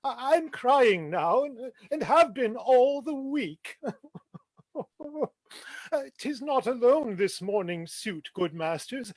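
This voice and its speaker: A man's voice: extremely high pitch, expressive, slow, pauses often, no room sound, exaggerated voice. A man voicing a weeping male stage player who mourns the comic muse, reading verse in a theatrical, declamatory way. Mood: mock mournful. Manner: theatrical, declamatory, laughing through lines. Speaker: stage reader.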